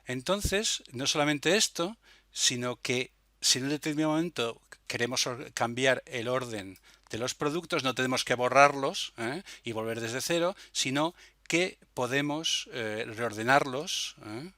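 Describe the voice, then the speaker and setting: A man narrates an informal software demo tutorial, explaining step by step with hesitations and frequent little checking tags. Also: medium pitch, unhurried, dry recording. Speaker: software demonstrator.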